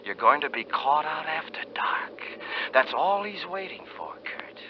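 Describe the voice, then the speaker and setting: An actor voicing a taunting, menacing inner voice that whispers in a 1950s radio drama. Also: male voice, on the high side, big pitch swings, measured pace. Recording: dull, hissy old radio broadcast.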